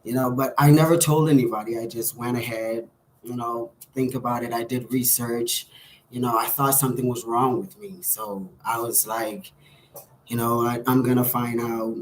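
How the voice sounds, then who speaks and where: A man's voice: mid-range voice, a little hiss or hum, poor audio. A man in his thirties with a Bahamian accent tells a personal story to camera in a candid, hesitant way. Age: 30s. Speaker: vlogger.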